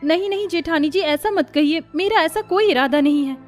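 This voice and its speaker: A woman's voice: very high-pitched, moderate pace, soft background music, voice pushed up. A woman voicing a meek, polite young bride from a poor home in a Hindi cartoon story. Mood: pleading. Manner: polite, submissive.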